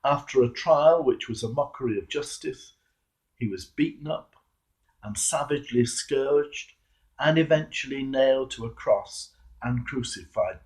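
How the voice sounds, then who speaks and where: A man reading a devotional sermon as a clergyman, measured and earnest, with emphatic pauses. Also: mid-range voice, darkish tone, poor audio. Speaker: Anglican clergyman, preacher. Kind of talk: devotional sermon reflection, read aloud.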